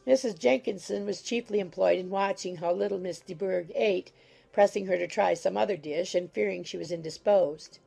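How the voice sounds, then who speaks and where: A woman's voice: on the low side, moderate pace, vocal fry, dark tone, husky, soft background music, rough recording. A woman reading scripted literary narration for a dramatized audiobook.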